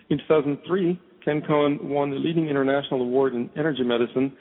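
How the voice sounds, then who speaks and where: A male show host reading a formal introduction and interviewing a guest. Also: mid-range voice. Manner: measured, formal, stumbles now and then. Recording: tinny phone line.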